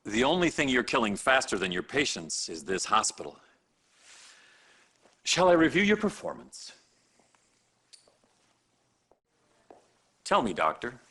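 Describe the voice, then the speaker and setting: An actor playing a sarcastic, condescending hospital supervisor in a video game cutscene, with theatrical, deliberate pauses. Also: male voice, on the low side, pushed and bright, slightly rough, full character voice. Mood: scathing sarcasm. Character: sarcastic, condescending male hospital boss berating a doctor.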